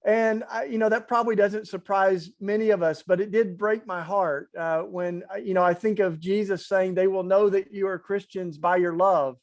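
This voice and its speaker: A man with a marked East Tennessee accent and a notably high voice. He talks in an earnest, reflective, conversational way as a webinar panelist. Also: fairly even intonation, normal speed, few pauses. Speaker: webinar panelist, faith organizer. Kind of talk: online panel talk.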